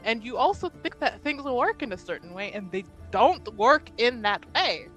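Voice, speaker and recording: Woman playing an animated, gushing young noblewoman. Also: a little high, normal speed, faint music behind, natural voice. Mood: indignant. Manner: excited, informal gushing between composed moments. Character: proper young noblewoman, gushing affection for her uncle.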